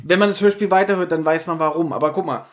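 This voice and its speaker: Podcast guy, voice a bit on the high side, casual chat, teasing banter. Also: fast. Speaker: podcast host. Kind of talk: casual podcast chat, retelling and critiquing an audio drama.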